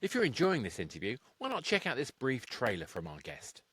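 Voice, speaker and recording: A man's voice: mid-range voice, huge pitch swings, fairly quick, a bit bright, breathy edge, no echo, faint music behind. A man hosting an interview podcast, speaking in short conversational remarks. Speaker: podcast host. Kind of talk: interview chat, brief interjections.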